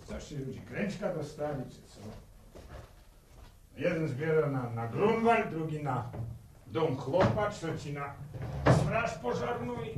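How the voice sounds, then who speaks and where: An actor playing a stubborn, stingy farmer son in a 1960s radio serial, gruff and sarcastic, stumbling into repeated words. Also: male voice, on the high side. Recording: old echoey radio recording, faint noise.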